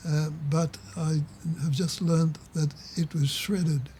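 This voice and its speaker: A man's voice: a little high, fairly even intonation, slow pace, mellow, slight background noise. An older man with an Australian accent, chatting and reminiscing in a hesitant, understated way. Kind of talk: casual reminiscing chat.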